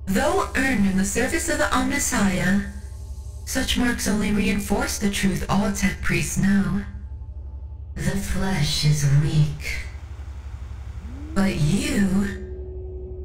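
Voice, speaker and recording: Woman acting a slow, echoing sci-fi tech priestess. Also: mid-range voice, far from the mic. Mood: admiring, faintly menacing. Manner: formal, deliberate, measured, faintly condescending. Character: composed, coolly superior female machine-cult tech priest. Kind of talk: scripted ASMR roleplay, speaking to the listener. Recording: roomy echo over background music.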